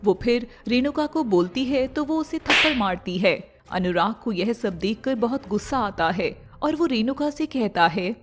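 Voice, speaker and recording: A woman's voice: a little high, lively intonation, a little slow, hardly any pauses, slight background noise. A woman narrator reading a scripted moral story. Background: faint music.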